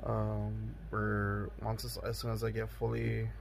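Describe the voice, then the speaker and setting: Raspy, gravelly slow-talking young man. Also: on the low side, monotone, a little hiss or hum. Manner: slow, subdued, many fillers. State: hoarse from illness. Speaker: vlogger. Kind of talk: casual video diary. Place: bedroom indoors. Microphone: phone camera close to face.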